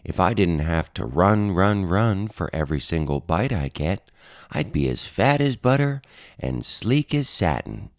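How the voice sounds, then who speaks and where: A man's voice: sits low, very animated, unhurried, no echo, studio quality, full character voice. A man voicing a grumbling, greedy, scrawny lion in a picture-book read-aloud. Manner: grumbling, impatient.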